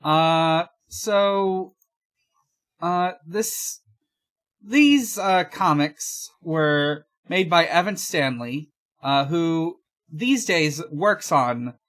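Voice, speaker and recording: Slow, hesitant podcast host. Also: mid-range voice, lively intonation, fairly bright tone. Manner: hesitant, halting, frequent trailing pauses. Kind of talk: casual podcast discussion and recap.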